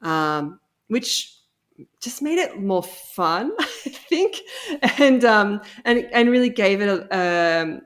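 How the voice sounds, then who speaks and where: A woman's voice: mid-range voice, very animated, slow pace, fairly bright tone. A woman chatting casually and effusively as a guest on an interview podcast. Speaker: interview guest, audio drama co-creator.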